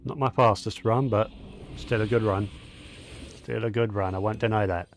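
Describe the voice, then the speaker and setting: A man casually commenting on his own gameplay, low-key and muttering to himself as he plays. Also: fairly even intonation, moderate pace, a little hiss or hum. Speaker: gaming channel host.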